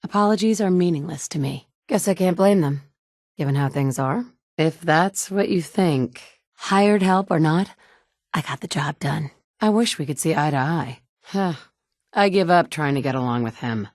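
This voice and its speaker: A woman's voice: sits low, a little mellow, dry recording. An actress in her thirties voicing a game's player heroine, a determined mother searching for her son, with dry wit and a blunt, curt edge. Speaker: player character. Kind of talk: game dialogue lines. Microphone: close studio mic.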